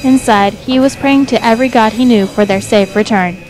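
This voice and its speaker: A woman's voice: on the high side, moderate pace, a little mellow, poor audio. A woman reading scripted narration for a dramatic fan fiction audio story. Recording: music behind the voice. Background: music.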